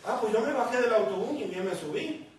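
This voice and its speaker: A man's voice: high voice, lively intonation, brisk, slight background noise. A man with a Puerto Rican accent preaching to a congregation, telling his own story and acting out the voices in it. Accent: Puerto Rican Spanish. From Puerto Rico. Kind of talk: sermon with personal testimony. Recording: echoey hall, distant mic.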